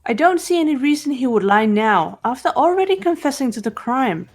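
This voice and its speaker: A woman voicing a calm young investigator who reasons through a case in a measured way. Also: high voice, soft background music, heavily acted. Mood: cool. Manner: measured, logical.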